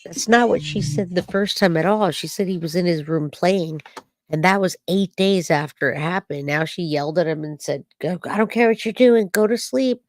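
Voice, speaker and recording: A woman's voice: fairly low pitch, moderate pace, darkish tone, dry recording. Skeptical woman streamer, dry close mic. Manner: probing, skeptical, many rhetorical questions. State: voice slightly off from dust and allergies. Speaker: true-crime streamer. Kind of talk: live-stream commentary to chat.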